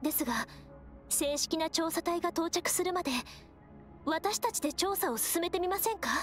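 A woman voicing a gentle, earnest young female scout in an anime game, speaking politely and with measured solemnity. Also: very high voice, faint music behind. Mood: earnest, concerned. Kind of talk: Japanese anime game voice acting.

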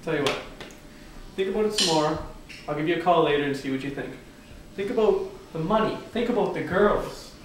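A man's voice: on the high side, brisk, slight background noise, lightly acted. A young man playing a breezy, persuasive bandmate in a student parody drama, talking in a casual, coaxing way. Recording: very echoey room, far from mic, music.

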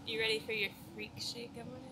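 A woman's voice: fairly high pitch, level tone, fast, loud music behind the voice. A woman in her thirties chatting casually as she reviews restaurants on camera, laughing often and giving frank ratings. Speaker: travel vlogger. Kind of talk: casual food review chat. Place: busy city streets and restaurants, indoors and out. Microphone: handheld camera at arm's length. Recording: loud street crowd and traffic noise. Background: crowd chatter and traffic.

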